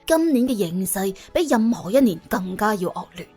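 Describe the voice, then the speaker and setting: A woman's voice: medium pitch, big pitch swings, normal speed, warm tone, breathy edge, soft background music. A woman with a Cantonese accent narrating a story in a dramatic, colloquial, humorous way. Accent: Hong Kong or Guangdong Cantonese. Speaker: audiobook narrator. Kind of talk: colourful scripted story narration.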